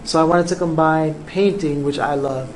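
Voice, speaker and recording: Soft-spoken New artist in a roomy studio. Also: male voice, a little high, steady tone. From Cuba, raised in New York. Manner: soft-spoken, unhurried, with frequent ums. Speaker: visual artist, interviewee. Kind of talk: relaxed interview answers. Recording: roomy studio, faint background noise.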